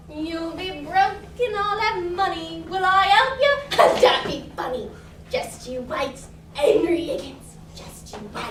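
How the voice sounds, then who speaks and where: A woman playing a brash, stubborn young Cockney flower girl in a stage musical, talking and half singing loudly. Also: very high voice, exaggerated voice. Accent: Cockney, put on for the role. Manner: stubborn, loud, half sung. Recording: echoey stage, mic far off, faint noise.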